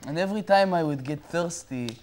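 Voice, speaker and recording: A man's voice: fairly high pitch, a little hiss or hum, lightly acted. A man playing a rambling hesitant life coach. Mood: deadpan. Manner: halting, hesitant, full of uh and well you see. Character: rambling eccentric sixty-year-old male life coach. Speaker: mock guest life coach. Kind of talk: improvised comic interview.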